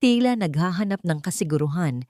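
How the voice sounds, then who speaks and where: A woman's voice: fairly low pitch, animated, fairly quick, dark tone, no echo, clean studio sound. A woman reading a scripted dramatic story as its narrator.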